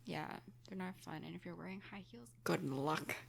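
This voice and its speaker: A woman's voice: on the low side, moderate pace, gravelly, breathy edge. A young woman from Toronto co-hosting a podcast, chatting casually with laid-back, dry reactions. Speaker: podcast co-host. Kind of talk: casual chat.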